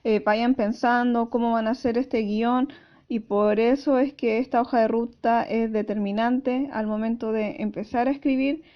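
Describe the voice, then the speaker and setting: Lady teacher with a really high voice explaining class stuff step by step, kinda muffled lo-fi recording. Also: steady tone, normal speed. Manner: didactic, careful explaining with rhetorical questions. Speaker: school teacher. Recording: dull lo-fi audio.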